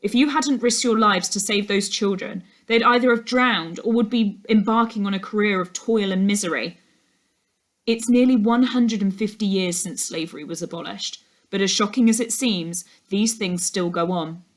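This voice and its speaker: A woman voicing an earnest detective uncle in a storybook, measured and serious. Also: mid-range voice, natural voice. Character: earnest, proud detective uncle, grown man. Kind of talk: storybook dialogue.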